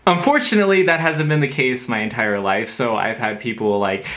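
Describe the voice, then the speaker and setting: A man in his twenties vlogging to camera from his bedroom, talking casually with drawn-out words and many "like"s, and waving his hands as he talks. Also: medium pitch. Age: 20s. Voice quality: lighthearted, drawn-out words, says "like" constantly. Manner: animated, gestures with hands while talking. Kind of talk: casual opinion vlog to camera. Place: bedroom with posters, indoors.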